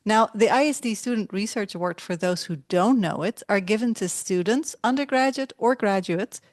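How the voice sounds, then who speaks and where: A woman's voice: medium pitch, normal speed, no room sound, studio quality. A woman hosting an interview who introduces her guest and asks questions in a friendly, encouraging way. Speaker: interview host.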